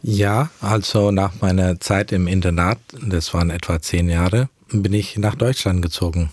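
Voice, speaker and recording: A man's voice: low voice, fairly even intonation, darkish tone, husky, no room sound. A young man whose first language is English, answering interview questions about mission work in careful, hesitant speech. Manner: earnest, measured, with frequent hesitations. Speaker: mission worker.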